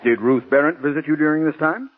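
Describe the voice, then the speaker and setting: An actor playing a relentless, formal prosecutor who fires insistent questions in a 1940s radio courtroom drama. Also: male voice, on the high side, fast, lightly acted. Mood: probing. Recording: muffled, hissy 1940s radio broadcast.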